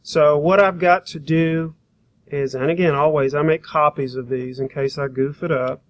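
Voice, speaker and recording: Higher-voiced man giving an informal software tutorial. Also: steady tone, normal speed. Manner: informal, thinking aloud while working. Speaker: graphic design instructor.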